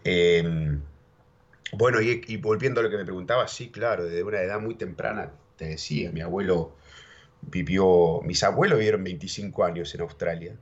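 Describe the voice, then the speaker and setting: Argentine guy, real deep voice, rambling storyteller, dull lo-fi sound. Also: normal speed. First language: Spanish and English, bilingual. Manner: rambling, repeats words, keeps saying ¿viste?. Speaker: interview guest. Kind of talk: casual storytelling chat. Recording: dull lo-fi audio.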